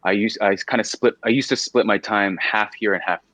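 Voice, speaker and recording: An American man voice actor telling stories as an interview guest, animated and often repeating himself. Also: fairly low pitch, brisk, no room sound. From United States. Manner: animated storytelling, repeats words. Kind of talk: casual interview chat.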